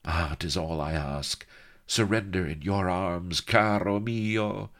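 A man's voice: deep voice, fairly even intonation, takes it slowly, fairly bright tone, voice pushed up, full character voice. A man voicing a sultry, seductive female pirate who croons her lines. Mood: crooning. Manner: crooning, coaxing.

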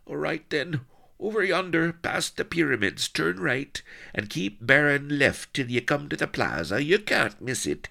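A crazed old West Country castaway, whines and rambles in a comic storybook tale. The voice is pitched well up and swings about, with a creaky edge. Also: male voice, sing-song, a little slow, pushed and bright, exaggerated voice. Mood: nervous, quavering. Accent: West Country English. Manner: rambling, whining, rustic dialect.

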